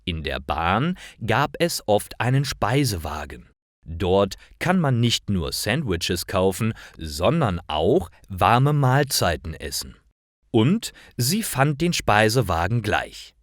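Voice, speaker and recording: Male narrator for learner stories: low pitch, very unhurried delivery, careful scripted reading. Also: big pitch swings, no room sound, studio quality.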